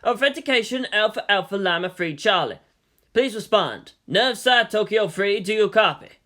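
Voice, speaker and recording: A narrator playing a weary male army general, formal and measured, who reasons and pleads firmly. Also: mid-range voice, expressive, bright, forceful tone, voice pushed up. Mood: formal, commanding. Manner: measured, official, placating.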